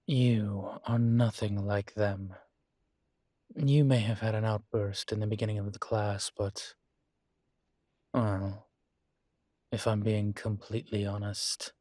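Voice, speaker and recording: A man acts out a weary male incubus who teaches college, gruff yet fond beneath it. His voice is low-pitched and husky, recorded dry and close in an intimate audio roleplay. He talks in halting, candid phrases broken by sighs. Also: flat delivery, dark tone, dry recording. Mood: sincere, admitting. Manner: slow, halting, sighing, candid.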